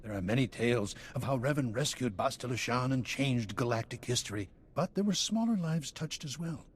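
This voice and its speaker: An actor playing an earnest, formal historian in a video game, speaking grandly and with enthusiasm. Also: male voice, medium pitch, expressive, brisk, a little hiss or hum, lightly acted. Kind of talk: game character dialogue.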